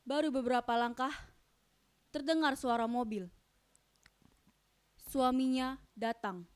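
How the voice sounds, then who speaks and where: A woman's voice: high voice, unhurried, a little mellow, smooth. A woman narrator reading scripted stage directions as dramatic storytelling.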